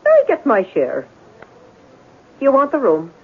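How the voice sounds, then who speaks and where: A woman's voice: high-pitched, wildly swooping pitch, measured pace, exaggerated voice. An actress playing a nervous, evasive middle-aged landlady with colloquial, uneducated speech in an old-time radio drama. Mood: brisk, businesslike. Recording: tinny band-limited vintage radio recording.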